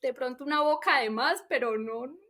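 A woman with a Colombian accent and a slightly high voice chats candidly as an interview guest. She is colloquial and expressive, often repeating words to stress them. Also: normal speed. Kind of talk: casual, candid chat.

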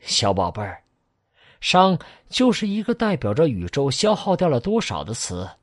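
Casting: male actor as tender, patient radio-drama dad, husky mid-pitched voice, dry close sound. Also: huge pitch swings, moderate pace, dry recording. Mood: gently explaining. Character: patient, tender father explaining things to children. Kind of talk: Chinese science-fiction radio drama acting.

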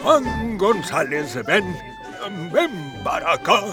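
Give the radio play its pompous emperor: a highish, theatrical voice that stretches words slowly and grandly. He sounds like an aggrieved father and ruler, a little off the mic over soft music. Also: big pitch swings, distant mic, full character voice. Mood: grandiose, grateful. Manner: theatrical, drawn-out, grandiose speech. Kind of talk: scripted radio drama acting.

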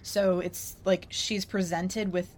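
Casting: woman podcast co-host, slightly low register, chatty, giggly, casual delivery, faint background noise. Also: flat delivery, slow pace, a little mellow. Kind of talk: casual chat.

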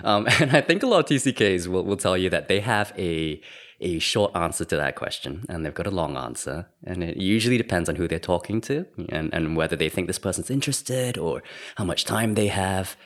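Deep-voiced man, chatty guest. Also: lively intonation, brisk, a bit bright. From Singapore. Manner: chatty and easygoing, with small laughs and hedges. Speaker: podcast guest. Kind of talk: relaxed conversational storytelling.

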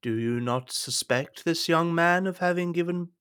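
A man playing a scheming, suspicious cardinal in a period audio drama, speaking in a measured, insinuating, courtly manner. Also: on the high side, fairly bright tone, smooth, close to an everyday voice. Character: smooth-tongued, scheming, suspicious cardinal and statesman.

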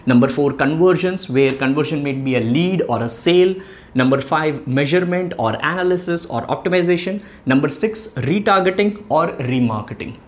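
A man in his thirties with an Indian English accent, explaining a topic in a casual, chatty video, laughing between points and asking rhetorical questions. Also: on the high side. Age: 30s. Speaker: online marketing educator.

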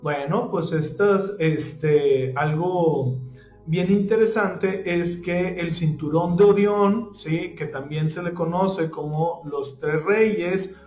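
A man with a high voice talks slowly through a spiritual lesson, stopping often to check in with his listeners. The sound is roomy and echoey, with faint music under it. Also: darkish tone. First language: not Spanish (joking). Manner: halting, many fillers, stumbles over names. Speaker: spiritual teacher. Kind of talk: informal talk on symbolism.

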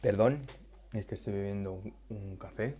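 A man chatting casually about tech news, halting often and correcting himself as he goes. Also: fairly low pitch, mellow, breathy edge. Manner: halting, restarts and self-corrections, musing. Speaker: tech vlogger.

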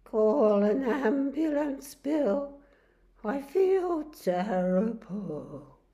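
Woman reading as a grouchy old man in a storybook, snappish, lively pitch, unhurried. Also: heavily acted. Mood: weak. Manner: grumbling, snappish. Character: grumpy older man, stubborn and weary.

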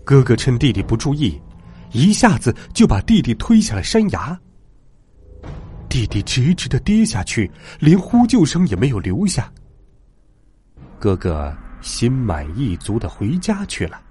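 Guy telling kids a bedtime story, super expressive, slow pace, music playing behind him. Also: mid-range voice, a little hiss or hum. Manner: addresses young children in simple, animated phrases. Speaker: children's bedtime story host. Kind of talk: expressive storytelling narration for children. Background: background music.

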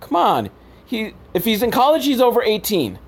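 A man's voice: high-pitched, huge pitch swings, moderate pace, husky. A man hosting a podcast, giving a casual, profane and sarcastic comedic recap of films. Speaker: podcast host. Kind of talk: comedic film recap commentary, casual rant.

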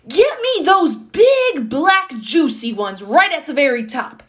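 A woman's voice: high-pitched, sing-song, slow, fairly bright tone, pitched above the performer's own voice, full character voice. A woman voicing a pompous, furious old male duke who blusters and bellows in a storybook reading. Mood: demanding.

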